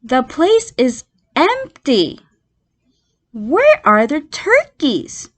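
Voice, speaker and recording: A woman voicing an eager, curious young fox boy in a children's story, excitable and questioning. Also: high-pitched, very slow, pitched above the performer's own voice, heavily acted. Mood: puzzled.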